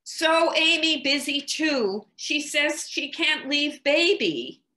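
A woman's voice: high-pitched, expressive, slow pace, pushed and bright, roomy sound, voice pushed up, exaggerated voice. A woman voicing a small pleading child in a storybook, with a plaintive, whining manner. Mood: upset, pleading.

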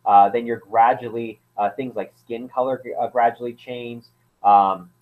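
A man's voice: medium pitch, steady tone, unhurried. A man explaining as an anthropologist guest in a casual interview, hesitant, with many fillers and restarts. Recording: tinny phone-like line.

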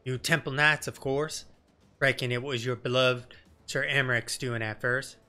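A man voicing a blunt, sardonic woman rebel leader in a fantasy game, with colloquial, rough-edged wording. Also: medium pitch, fairly even intonation, moderate pace, bright, forceful tone, soft background music, lightly acted. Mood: defiant, sardonic. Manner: blunt, colloquial, mildly cursing. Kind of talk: game dialogue read in character.

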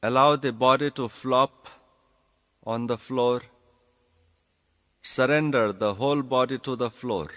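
A man giving guided meditation instructions as a yoga nidra teacher, speaking deliberately in short phrases with pauses. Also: medium pitch, sharp tone. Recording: long reverberant hall echo.

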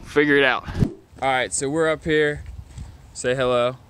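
A young man vlogging casually to a handheld camera as he hikes a wooded mountain trail, relaxed and upbeat. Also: mid-range voice, moderate pace, clean tone. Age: young adult. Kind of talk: casual vlog narration while hiking. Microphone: handheld camera at arm's length.

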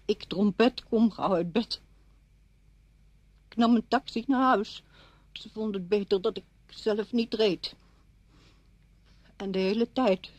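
Bitter grieving widow, husky, close. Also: female voice, medium pitch, a little mellow, dry recording. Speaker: radio drama actress. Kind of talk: Dutch radio drama acting.

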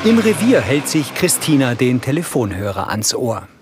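A man's voice: mid-range voice, very animated, normal speed, music in the background. A man narrating the scenes of an audio drama in scripted description.

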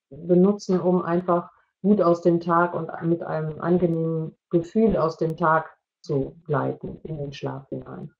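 Low-pitched, hesitant woman on a dull call. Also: darkish tone. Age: middle-aged. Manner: hesitant, pausing, long winding sentences. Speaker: expert panellist. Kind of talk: spontaneous explanation in an online discussion. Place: indoor room, video call. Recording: dull, lo-fi video-call audio.

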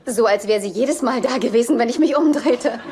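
A woman's voice: on the high side, fairly quick, lightly acted. An actress playing an upbeat, sociable girlfriend in a German-dubbed sitcom. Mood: chatty. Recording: faintly noisy TV soundtrack rip.

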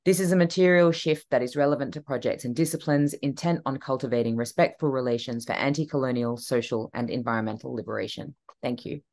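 A speaker delivers a prepared talk remotely over a video call, reading in a measured, steady way. The voice sits at a middle pitch. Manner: measured, reads from script. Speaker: keynote speaker. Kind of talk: read talk.

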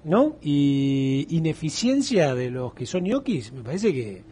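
Brief: middle-aged Argentine man, husky and fairly high, lively studio interviewer. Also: slow pace. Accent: Argentine Spanish. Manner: animated, gestures a lot, acts out quoted voices. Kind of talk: casual interview chat.

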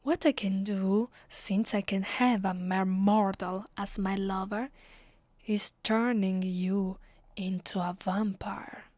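A woman plays a haughty female vampire with an Italian accent in an intimate ASMR roleplay. She speaks slowly and deliberately, soft and half-whispered, possessive yet secretly tender. The sound is very dry and close. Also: medium pitch, steady tone, dry recording. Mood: solemn, possessive. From Italy. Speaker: voice actress.

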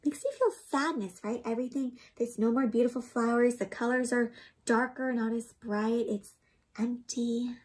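A young woman doing an interactive storybook read-aloud for children, expressive and gently teacherly, often putting questions to her listeners. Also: on the high side, moderate pace, a little hiss or hum. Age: young adult. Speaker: children's storybook reader and host. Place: small indoor room with books and plants.

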